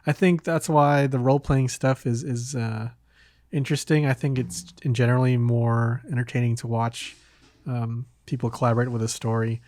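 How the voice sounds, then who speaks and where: A man's voice: medium pitch, fairly even intonation, normal speed, a little mellow, no echo. A man hosting a casual podcast, talking in a hesitant way as if thinking aloud. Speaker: podcast host.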